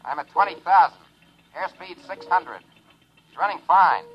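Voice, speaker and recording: A man's voice: sits high, expressive, normal speed, slightly rough, dull audio, heavily acted. An American man in a 1950s radio drama playing a daring test pilot who gives clipped technical callouts. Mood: focused, businesslike. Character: daring male test pilot reporting from a rocket plane. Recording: vintage 1950s radio broadcast.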